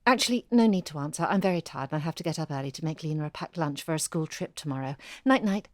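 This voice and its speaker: Blunt busy single mum writer, lowish voice, dry close sound, audio drama, matter of fact. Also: female voice, expressive, quick pace, no echo. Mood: tired, frank. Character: blunt, busy single mother and ghostwriter, guarded. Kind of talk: audio drama acting, naturalistic.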